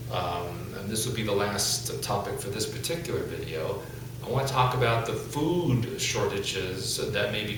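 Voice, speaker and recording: A middle-aged man from the United States giving news commentary in a measured, deliberate, emphatic way. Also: on the low side. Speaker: video host, commentator. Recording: echoey, distant mic with loud hum.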